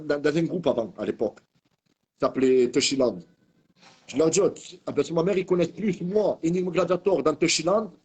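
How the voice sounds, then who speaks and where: Husky man ranting. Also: on the high side, darkish tone. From Marseille, France. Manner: rambling, heated, repeats and restarts words. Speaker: online commentator. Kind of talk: ranting video message.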